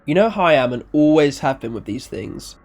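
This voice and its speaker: A storyteller voicing a cocky, evasive, fast-talking man who manages clients, slangy, brazen and repeating himself. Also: mid-range voice, expressive, a little mellow. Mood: defensive.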